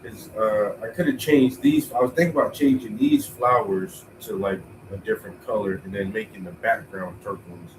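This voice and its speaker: A man thinking aloud in a relaxed, rambling way as he paints, sounding stuffy and tired with a cold. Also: on the low side, moderate pace, few pauses, recorded from a distance, noisy background. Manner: laid-back, rambling, thinking aloud, swears casually. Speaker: painter streaming while painting. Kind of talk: casual talk to himself while painting.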